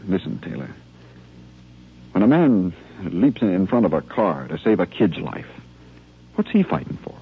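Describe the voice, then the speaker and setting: Army lieutenant, 1950s radio drama: earnest, inspiring, highly animated delivery, husky edge. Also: male voice, heavily acted. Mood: urgent, persuasive. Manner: earnest, rhetorical, speaking in measured short phrases. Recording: muffled old 1950s radio recording.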